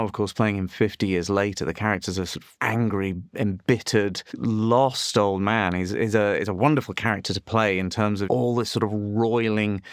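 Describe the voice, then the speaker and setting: A man's voice: lowish voice, creaky, no echo, clean studio sound. A male actor talking reflectively in an interview, considered and articulate, savouring his descriptive words.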